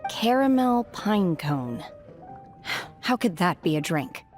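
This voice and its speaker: Aloof, low-voiced mountain hermit. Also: female voice, very animated, soft background music. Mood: puzzled, reserved. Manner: stilted, solemn, literal-minded, measured. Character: aloof, earnest young female hermit raised in mountains. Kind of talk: video game cutscene acting.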